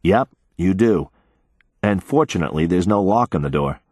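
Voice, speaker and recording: A man's voice: low-pitched, animated, moderate pace, darkish tone, dry recording, studio quality. A man reading the lines of a determined grown man who talks tersely and mutters.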